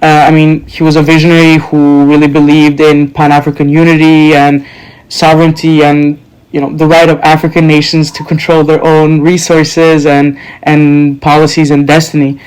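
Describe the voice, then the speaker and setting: Young male student guest, 20s, from Serbia and Norway, smooth, even-toned, measured. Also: fairly high pitch, poor audio. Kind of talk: interview answers.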